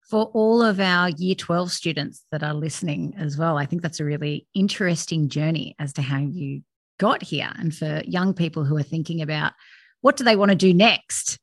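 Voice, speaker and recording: A woman's voice: sits low, normal speed, no echo, clean studio sound. A woman hosting an interview, earnest and emphatic with repeated words. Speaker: podcast host.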